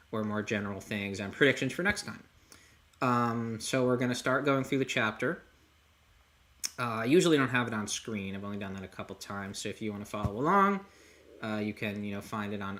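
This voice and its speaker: A man hosting a casual livestream discussion, talking in a rambling, halting way as he thinks aloud. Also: lowish voice, a bit bright. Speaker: livestream host. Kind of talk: casual discussion of a comic chapter.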